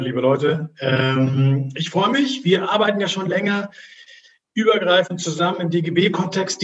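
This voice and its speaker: Upbeat man hosting, lo-fi sound. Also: fairly high pitch. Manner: upbeat and inviting. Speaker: podcast host. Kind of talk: casual chat. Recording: dull lo-fi audio.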